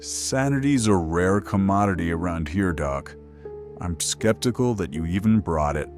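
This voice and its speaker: An actor playing a wry, deadpan, sardonic host of a late-night horror radio show. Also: male voice, deep voice, normal speed, music in the background. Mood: wry, teasing. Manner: deadpan, sarcastic.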